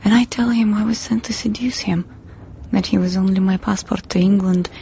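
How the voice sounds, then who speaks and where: A woman's voice: medium pitch, fairly even intonation, a little fast, gravelly, mellow, lightly acted. An actress playing a young Russian woman spy in a radio drama, tender but defiant and secretive, with a Russian accent. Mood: anxious, reflective. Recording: dull lo-fi recording, background noise.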